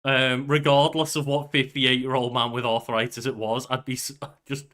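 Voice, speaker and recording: A man's voice: mid-range voice, little pitch movement, moderate pace, sharp tone. A young man co-hosting a podcast in casual chat, with banter and some swearing. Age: young adult. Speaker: podcast co-host. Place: small bedroom at home.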